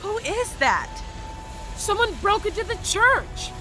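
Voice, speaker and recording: A woman's voice: extremely high pitch, big pitch swings, slow, exaggerated voice. A woman playing an agitated heckler in a street crowd in an audio drama. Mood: startled. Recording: distant shouts under loud music.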